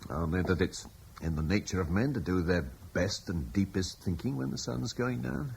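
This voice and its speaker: A man's voice: deep voice, animated, normal speed, vocal fry, a little mellow, slight background noise. An eloquent older Roman-born father. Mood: reflective. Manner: reflective and persuasive, eloquent. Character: thoughtful older Romano-British father, idealistic. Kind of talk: radio drama acting.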